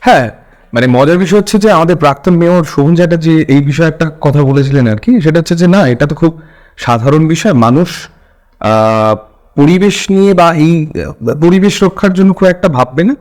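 A male interviewer with a West Bengal Bengali accent speaks in a fairly high voice. His delivery is conversational and halting, circling around as he frames his points. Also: expressive, a little mellow.

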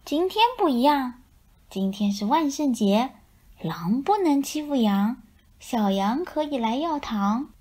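Woman, children's storyteller, highish voice, slow pace, expressive picture-book narration.

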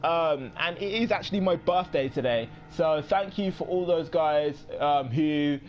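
A young man in his late teens giving casual let's play commentary, rambling with many pauses and quick apologies. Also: fairly high pitch, faint music behind. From United Kingdom. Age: young adult. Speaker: gaming YouTuber.

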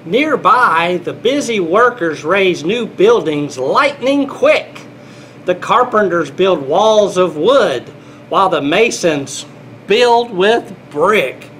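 A man's voice: sits high, animated, slow, a bit bright, slight background noise. A middle-aged man reading a children's picture book aloud, playful and exclaiming, talking as if to small children. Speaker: grandfather reading to children, air traffic controller. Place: small indoor airport control room.

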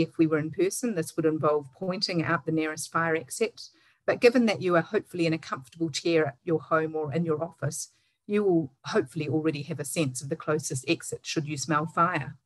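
Woman, New Zealand accent, middle-aged, deep for a woman, measured scripted delivery, dry video call sound. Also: no room sound, rough recording. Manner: measured, formal, reading from a script. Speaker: webinar host. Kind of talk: scripted webinar introduction.

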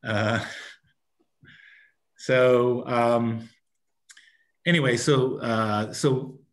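A man in his sixties giving an informal committee report on a video call, often breaking off and rephrasing as he goes. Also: lowish voice. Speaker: parks and recreation committee member reporting to a town council. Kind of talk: informal spoken report at an online meeting.

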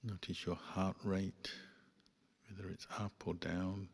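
A man, a Buddhist monk, guiding a meditation in calm, measured phrases with pauses. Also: low voice, vocal fry, husky. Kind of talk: guided meditation instruction. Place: large reverberant hall. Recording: long hall reverb.

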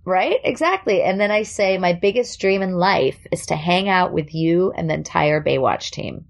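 A woman's voice: fairly low pitch, expressive, moderate pace, creaky. A woman from Germany raised in New York, with a light New York accent, telling stories as a podcast guest and laughing often. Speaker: podcast guest, comedian and actor. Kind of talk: casual storytelling chat.

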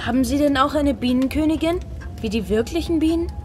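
A woman's voice: high-pitched, fairly quick, lightly acted. An actress playing a bold, curious young boy in a fairy tale audio drama, eager in manner. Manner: eager, boyish.

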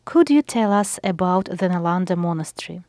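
A woman's voice: lowish voice, expressive, moderate pace, warm tone, dry recording. A woman interviewer asking polite, formal questions.

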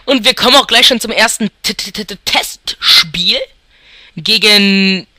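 A man's voice: high voice, measured pace, bright, forceful tone, no echo, studio quality. A young man from Germany giving casual, rambling commentary over a football video game as he thinks aloud and chuckles. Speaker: let's player.

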